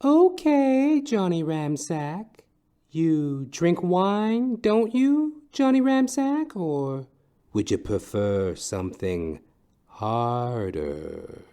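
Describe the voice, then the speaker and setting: A man voicing a seductive, wealthy middle-aged femme fatale with coy, teasing, drawn-out delivery in a noir audio play. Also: a little high, animated, warm tone, clean tone, voice pushed up, full character voice. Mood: sultry, teasing.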